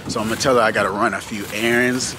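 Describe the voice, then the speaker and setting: A man's voice: fairly low pitch, fairly even intonation, rapid-fire, heavy background noise. A young man vlogging to a handheld camera, talking slangy and profane as he eagerly plots a prank. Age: young adult. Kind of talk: casual vlog to camera. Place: outdoors by a parking lot, then indoors. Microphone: handheld camera at arm's length.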